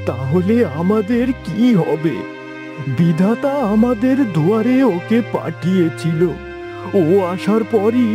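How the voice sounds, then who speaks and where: A man playing an anxious, tender village father in a plaintive, earnest cartoon style. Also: high voice. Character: anxious, tender village father, aging, devoted to his daughter. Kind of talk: dramatic cartoon dubbing.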